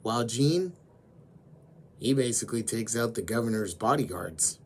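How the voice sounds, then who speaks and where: A man with a hoarse voice, slowly retelling an anime story as host of his own channel. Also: mid-range voice, expressive, a little hiss or hum. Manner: slow, deliberate storytelling with frequent fillers.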